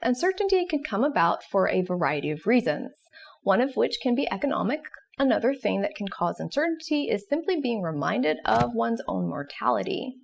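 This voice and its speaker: Casting: female educational presenter, higher-pitched with noticeable fry, scripted yet conversational, questioning. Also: a little fast. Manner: expressive, questioning. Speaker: presenter of educational psychology videos. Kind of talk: scripted explanatory narration with casual asides.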